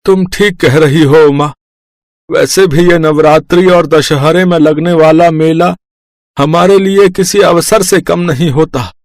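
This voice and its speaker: A man's voice: a little high, steady tone, a little slow, dry recording. A man voicing a poor, meek and devout village toy seller and father in a cartoon story. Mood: earnest. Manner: meek, apologetic.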